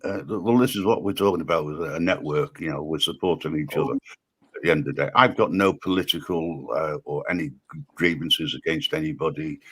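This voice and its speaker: Deep-voiced male host, halting, restart-heavy delivery, very low register. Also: a bit bright, husky. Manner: hesitant, many ums and restarts. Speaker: livestream host. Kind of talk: casual livestream chat.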